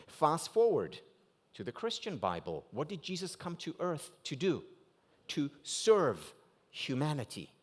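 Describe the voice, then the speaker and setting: Animated Israeli man giving a keynote. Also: medium pitch. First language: Hebrew. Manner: rhetorical questions with strong rises and drops for emphasis. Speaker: keynote speaker. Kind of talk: motivational keynote lecture. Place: banquet hall at a gala dinner.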